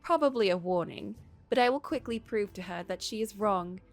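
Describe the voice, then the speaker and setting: A woman's voice: medium pitch, expressive, normal speed, faint music behind, lightly acted. A proud young princess from a storybook, played by a woman narrator. Her speech is formal and self-assured, with a stubborn streak. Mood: defiant, proud. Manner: formal, declarative phrasing.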